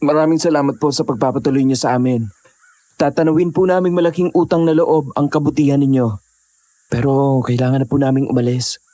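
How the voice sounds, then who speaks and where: A protective young hiker boyfriend played by a man in an audio drama. His voice is mellow and dryly recorded, switching between languages. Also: medium pitch, steady tone, brisk, no room sound, lightly acted. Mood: polite.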